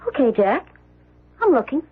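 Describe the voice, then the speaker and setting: Ditzy American gold-digger, husky, coquettish drawl, breezy and frank, tinny old radio drama sound. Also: female voice, high voice, very animated, normal speed, full character voice. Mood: casual. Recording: tinny, narrow vintage radio broadcast.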